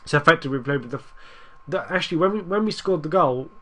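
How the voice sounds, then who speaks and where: Young man, stuffy cold-blocked nose, slightly high voice, rambling casual game commentary. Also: lively intonation, fast, a bit bright. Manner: rambling, restarts, urges his team on. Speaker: gaming YouTuber.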